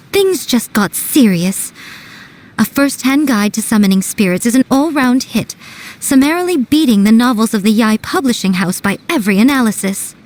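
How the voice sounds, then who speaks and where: A woman's voice: a little high, animated, normal speed, darkish tone, natural voice, rough recording. A woman playing a sly teasing shrine priestess. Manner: teasing, playful, superior. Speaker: head of a publishing house. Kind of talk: video game voice acting.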